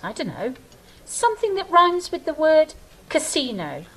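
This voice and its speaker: A woman's voice: high-pitched, huge pitch swings, measured pace, full character voice, poor audio. A woman playing an earnest, wistful angel in an audio drama, speaking in a plaintive, questioning and hopeful way. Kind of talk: theatrical audio drama dialogue.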